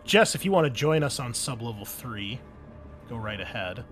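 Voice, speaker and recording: A man with a mid-pitched voice acts as game master, describing scenes and rules to his players. His delivery is informal and improvised. Faint music plays underneath. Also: animated, moderate pace, a bit bright. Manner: casual, informal. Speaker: game master of a tabletop roleplaying game.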